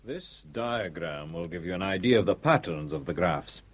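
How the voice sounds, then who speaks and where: An actor playing a methodical geologist explaining well graphs, in a radio play. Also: male voice, deep voice, animated, normal speed, slightly rough. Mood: explanatory. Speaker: technical expert. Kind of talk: radio drama acting.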